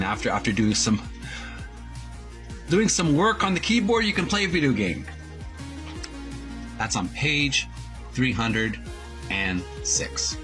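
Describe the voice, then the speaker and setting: Halting man reading from a book. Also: mid-range voice, fairly bright tone. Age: adult who played tape games in school. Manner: halting, many fillers and restarts. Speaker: channel host reading from a book. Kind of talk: casual book reading and commentary.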